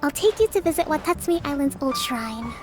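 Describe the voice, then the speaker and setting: An actress voicing a gentle young island leader in an anime game, speaking in a formal, measured, diplomatic way. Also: female voice, high-pitched, lively intonation, over music. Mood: composed, reflective.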